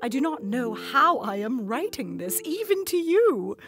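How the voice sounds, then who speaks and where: Actress as a gushing, girlish young lady, very animated. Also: sits high, measured pace. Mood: lovestruck, gushing. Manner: girlish, giggly, theatrical. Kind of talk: dramatised letter reading in a radio play. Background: instrumental music.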